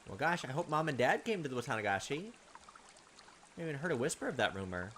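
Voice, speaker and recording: A man's voice: mid-range voice, very animated, fast talker, fairly bright tone, slight background noise. A man doing a visual novel let's play, reading aloud expressively and adding sarcastic asides. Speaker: let's player.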